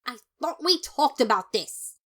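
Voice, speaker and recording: A woman's voice: sits high, expressive, unhurried, sharp tone, voice pushed up, heavily acted. Woman playing an indignant, pompous skeleton brother. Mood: indignant, scolding. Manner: formal, indignant, emphatic. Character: exasperated, pompous, upright skeleton younger brother.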